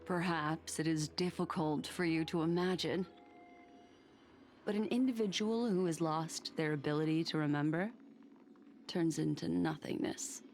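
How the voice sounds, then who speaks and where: An actress playing a composed, scholarly and somewhat mysterious woman in a video game, explaining in measured, formal speech. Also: low-pitched, darkish tone, faint music behind. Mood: calm, explanatory. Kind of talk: game dialogue voice acting.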